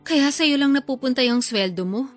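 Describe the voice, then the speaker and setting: A woman's voice: sits high, expressive, normal speed, faint music behind. An actress playing a blunt, self-pitying older sister and struggling mother who complains defensively in a podcast drama. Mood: bitter self-pity. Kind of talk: Filipino podcast radio drama dialogue.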